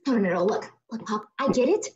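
A woman's voice: on the high side, sing-song, fairly quick, a little mellow. Blunt young woman, echoey call. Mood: reassuring, brusque. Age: young adult. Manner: slangy, emphatic, repeats words. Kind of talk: comedic table read acting. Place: living room on a video call. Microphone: laptop microphone. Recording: echoey, dull video-call audio.

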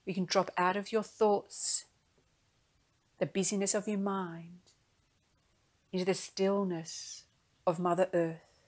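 A woman leading a guided meditation in a gentle, soothing, unhurried manner, speaking in soft phrases. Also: on the low side, little pitch movement.

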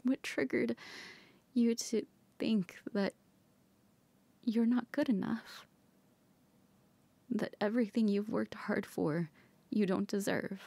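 A woman whispering softly in an ASMR comfort roleplay, gently reassuring a partner. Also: mid-range voice, fairly even intonation, slow pace, vocal fry, long pauses, dry recording.

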